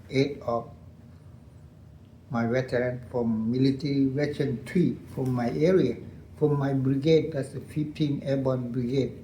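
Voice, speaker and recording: An older man with a Lao accent, a retired colonel recounting his war years in halting English, repeating phrases and laughing often. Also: mid-range voice, mellow, echoey room, a little hiss or hum, low-quality recording. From Laos. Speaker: interview guest, veteran colonel.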